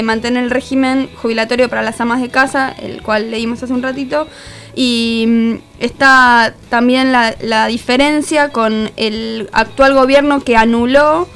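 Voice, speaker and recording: A woman with an Argentine accent, speaking as a columnist in a radio discussion. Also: a little high. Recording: music playing behind the voice. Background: music.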